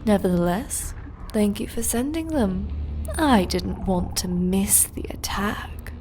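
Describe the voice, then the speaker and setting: A woman voicing a powerful dark elf witch in a fantasy audiobook, silky, deliberate and deferential. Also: medium pitch, animated, a little hiss or hum, low-quality recording. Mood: sly, dutiful.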